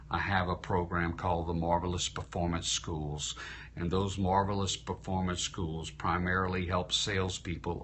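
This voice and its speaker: A man's voice: low voice, a bit bright, slight background noise. A man who works as an insurance entrepreneur and speaker, coaching viewers live and often asking rhetorical questions, tired after a long day. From Louisiana. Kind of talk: live-stream talk to viewers. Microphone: phone.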